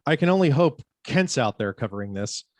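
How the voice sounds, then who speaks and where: A man playing a brisk, commanding newspaper editor in an amateur script table read. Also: medium pitch, very animated, no echo, close to an everyday voice. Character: brisk, bossy male newspaper editor chief.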